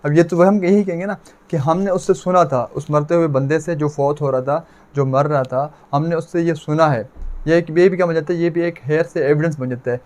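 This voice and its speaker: A Pakistani man with a fairly high-set voice delivers an explanatory lecture. He teaches in a didactic way, slipping into English legal terms. He often asks a question, answers it himself and repeats the key phrase for emphasis. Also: steady tone, moderate pace, a little mellow. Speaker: law lecturer.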